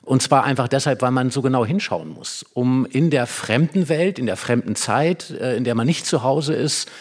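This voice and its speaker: Man, expert guest, reflective, careful phrasing, pauses, medium pitch, explanatory stage talk. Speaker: expert guest, dramaturg and writer. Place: hall with a live audience.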